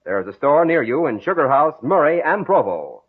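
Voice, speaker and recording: A man's voice: fairly high pitch, big pitch swings, a little fast, husky. An American man announcing in formal, scripted 1940s radio style. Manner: formal scripted sales and credit reading. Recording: phone-like old radio recording, music.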